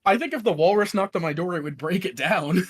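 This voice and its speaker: A man's voice: sits high, lively intonation, a little fast, slightly rough. Man in easygoing casual group chat. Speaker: participant in a group stream.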